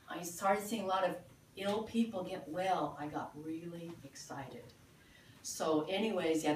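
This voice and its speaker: Older woman, halting talk in an echoey room. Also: on the low side, distant mic, slight background noise. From Philippines. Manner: halting, many fillers, earnest. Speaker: presenter at a sales meeting. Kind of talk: informal presentation. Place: meeting room.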